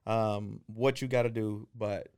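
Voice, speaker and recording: A man's voice: on the low side, fairly even intonation, measured pace, a little mellow, clean tone. A man in his thirties, a guest singer and DJ, talking casually in an interview with many restarts and fillers. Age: 30s.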